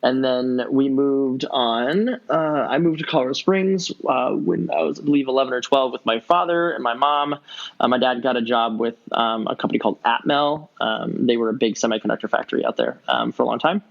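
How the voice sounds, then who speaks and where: A man in his thirties from Southern California, answering interview questions in a relaxed way, with plenty of ums and easy laughs. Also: mid-range voice, brisk, creaky, dull audio. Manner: relaxed, many ums and uhs, laughs easily. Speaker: podcast guest, entrepreneur.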